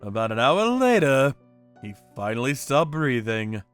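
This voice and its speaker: A man's voice: mid-range voice, very animated, a little slow, fairly bright tone, clear, resonant tone, soft background music. A man narrating a manga story in the first person with a theatrical, cocky delivery.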